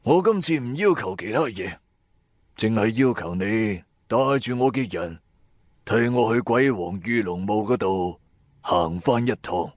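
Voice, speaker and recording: Slow, shrewd old boss. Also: male voice, fairly low pitch, lively intonation, no room sound, studio quality. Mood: calmly persuasive. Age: older. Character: shrewd old veteran tomb-trade boss, calmly persuasive. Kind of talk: Cantonese radio drama acting.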